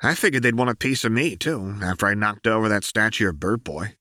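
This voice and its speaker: A man voicing a brash, volatile, sharp-tongued female rebel in an audiobook, blunt and slangy. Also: on the low side, brisk, no echo, studio quality, pitched above the performer's own voice. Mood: cocky.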